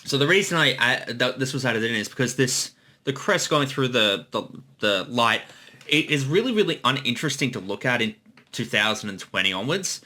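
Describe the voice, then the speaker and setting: Male commentator, casual and eager, repeats himself, very expressive intonation. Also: mid-range voice, fairly quick. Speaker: series creator giving commentary. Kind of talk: casual behind-the-scenes commentary.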